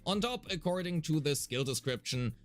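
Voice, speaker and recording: A man's voice: a little high, expressive, moderate pace, soft background music. A man reading scripted explanatory narration as a game guide, detailed and methodical with a mildly wry touch.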